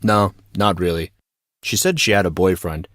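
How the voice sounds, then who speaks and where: Man playing a deep-voiced flippant teenage schoolboy. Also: moderate pace, fairly bright tone, no echo, studio quality. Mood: flippant, casual. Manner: casual, slangy, flippant. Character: laid-back, gossipy, wisecracking teenage schoolboy. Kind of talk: audio drama acting.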